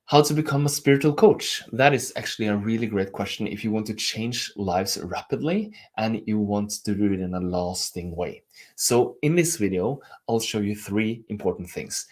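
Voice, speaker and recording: Man, Norwegian accent, gentle and earnest, live-stream coaching talk, slightly low, breathy. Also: normal speed. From Norway. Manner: earnest, gentle, reads out viewer comments. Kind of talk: live-stream teaching and chat.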